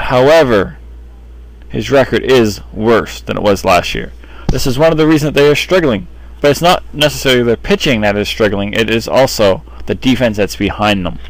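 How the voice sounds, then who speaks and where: A man's voice: medium pitch, no room sound, low-quality recording. A man delivering baseball team commentary in the manner of an announcer addressing his audience. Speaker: sports newsreel commentator.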